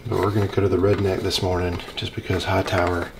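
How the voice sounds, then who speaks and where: Easygoing young male hunting host, deep mellow voice, flat steady delivery, faint hiss behind. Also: fast, gravelly. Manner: easygoing, plainspoken, talks his plan through. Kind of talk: casual on-camera hunting talk. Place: inside a small hunting blind.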